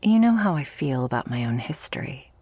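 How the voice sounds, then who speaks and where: A woman voicing an affectionate, protective, dominant shark girl in an intimate ASMR roleplay, with a breathy voice that often drops to a whisper. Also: extremely low pitch, big pitch swings, a little mellow, no echo, exaggerated voice. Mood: firm, worried. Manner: slow, teasing, tender and commanding.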